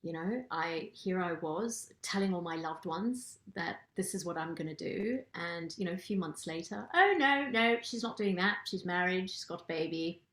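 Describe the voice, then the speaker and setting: Middle-aged woman from zimbabwe telling her story, lively, sounds a bit roomy. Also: on the low side, moderate pace, hardly any pauses. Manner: animated storytelling, acts out quoted thoughts. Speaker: guest, transformation coach. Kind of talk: personal story in an interview. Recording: roomy echo.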